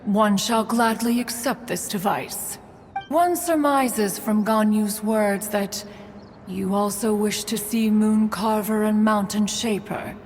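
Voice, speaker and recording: A woman's voice: medium pitch, steady tone, slow, lightly acted. A woman voicing an aloof elder female crane spirit in a game, speaking in a pompous, archaic way. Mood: haughty. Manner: pompous, archaic, calls herself "one". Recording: reverberant, with game music.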